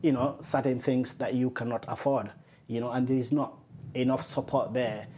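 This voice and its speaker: A man's voice: mid-range voice, steady tone, moderate pace, warm tone, a little hiss or hum. A man hosting an interview, talking conversationally and leaning on "you know" as he frames his questions. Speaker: interview host.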